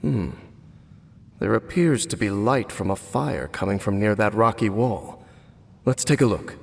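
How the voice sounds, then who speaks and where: Deep-voiced, solemn cursed knight. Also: male voice, lively intonation, gravelly, full character voice. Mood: wary. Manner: formal, measured, grave. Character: solemn, world-weary cursed knight, former captain of royal guards. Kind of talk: scripted video game voice acting. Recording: background music behind the voice.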